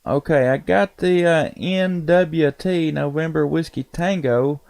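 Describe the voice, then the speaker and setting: A man's voice: a little high, unhurried, creaky, noisy background. A man chatting casually and in a folksy, laid-back way as an amateur radio operator on a live stream. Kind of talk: casual ham radio chat.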